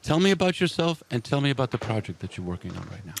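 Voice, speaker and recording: A man's voice: mid-range voice, sing-song, fast talker, vocal fry, a little hiss or hum. A middle-aged man hosting a casual interview show, talking loosely with lots of fillers. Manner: loose, rambling, with many fillers. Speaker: radio show host.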